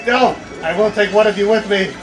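A young man bantering with friends while gaming, theatrical, given to yelling and laughing, caught on a phone. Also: high voice, brisk, recorded from a distance. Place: busy gaming venue with screens. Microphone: phone capturing room from off. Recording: phone recording, noisy room, game music and chatter.

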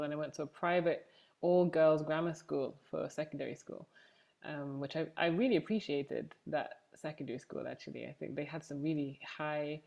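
Young woman, English accent, low register, interview guest speaking conversationally about herself on a video call. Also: vocal fry, a little mellow, highs cut off. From Manchester, England. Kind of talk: conversational personal testimony. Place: room at home, indoors, on a video call. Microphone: wired earphones on a video call.

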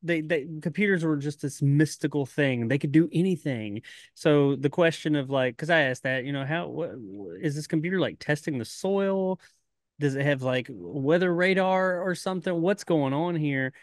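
A man's voice: fairly high pitch, normal speed, dry recording. Laid-back rambling man, dry mic. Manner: rambling, laughs often, swears casually. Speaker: podcast co-host. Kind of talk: casual podcast chat.

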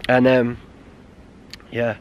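English man, 30s, hiking vlogger; subdued, halting talk to a handheld camera outdoors, faint wind noise. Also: mid-range voice, completely flat delivery, lo-fi sound. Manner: subdued, halting and grieving, with many restarts. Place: outdoors on a windy winter mountain, sheltering behind a stone wall. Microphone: camera held close at arm's length.

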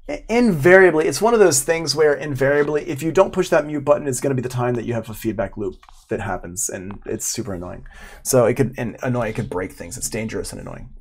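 A man's voice: mid-range voice, animated, fast talker. A middle-aged man teaching in an informal live tutorial, thinking aloud in a conversational way. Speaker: audio production instructor.

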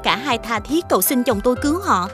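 A gracious young princess from a fairy-tale cartoon. Her voice is fairly high, composed and formal, with soft music underneath. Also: expressive, a little fast. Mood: calm explaining. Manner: composed, gently admonishing, formal. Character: gracious, righteous princess healer, young woman. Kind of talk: animated fairy tale acting.

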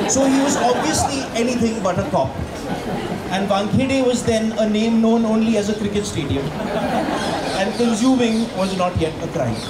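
A young man reading a memoir passage aloud into a handheld mic at a book launch, in a measured, steady way. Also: sits high. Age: young adult. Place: dark indoor theatre foyer, crowd around. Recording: echoey room with loud crowd noise.

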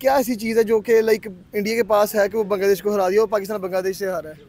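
A man's voice: sits high, steady tone, very fast, a little mellow. A young man from Pakistan hosting a casual street interview outdoors with a handheld mic, often chiming in to agree. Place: outdoors by a park road.

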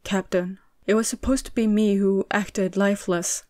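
A stubborn, hurt young man speaks with pleading emotion, voiced by a woman reading the story. Her pitch sits mid-range. The recording is dry and close. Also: fairly even intonation, normal speed, a little mellow, no echo, close to an everyday voice. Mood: frustrated. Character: young male city boy, stubborn, hurt and frustrated.

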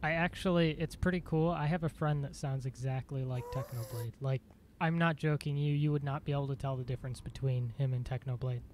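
A man streaming a game, chatting casually in a dry, monotone voice, rambling with fillers and self-corrections. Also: mid-range voice, brisk, hardly any pauses, a little hiss or hum. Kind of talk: casual live-stream chat.

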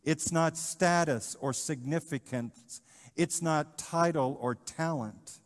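Slow, measured middle-aged pastor preaching. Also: male voice, fairly high pitch. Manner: animated, gesturing, rhetorical questions and repetition. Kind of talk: sermon. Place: church sanctuary, in front of the altar. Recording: church livestream with long reverb.

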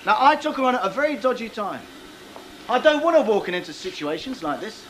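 A brusque factory boss, scolding his staff in a high, lively voice. He is blunt and sarcastic. There is a faint hum behind him, like an old TV broadcast. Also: brisk, low-quality recording. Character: brusque middle-aged male factory boss. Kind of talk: 1980s TV soap acting. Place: factory floor TV set.

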